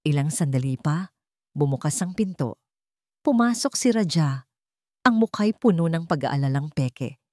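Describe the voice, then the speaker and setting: Low-pitched Filipino woman narrating dramatically. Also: animated, measured pace, long pauses, dark tone, no room sound, studio quality. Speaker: storyteller. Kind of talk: scripted dramatic narration of a Tagalog love story.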